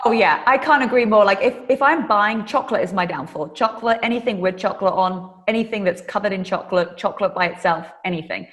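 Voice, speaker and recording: A British woman hosting a podcast interview, upbeat and enthusiastic, quick to agree with her guest. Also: medium pitch, a bit bright. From Britain. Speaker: podcast host. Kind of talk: casual interview chat.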